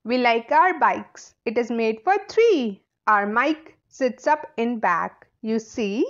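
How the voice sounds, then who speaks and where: A woman narrating a children's storybook aloud in a deliberate, sing-song way. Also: a little high, lively intonation. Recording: slightly dull, lo-fi audio.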